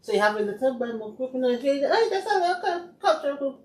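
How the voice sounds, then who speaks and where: Calm, matter-of-fact woman. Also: high-pitched, fast talker. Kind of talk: casual talk show chat. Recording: roomy sound with faint noise.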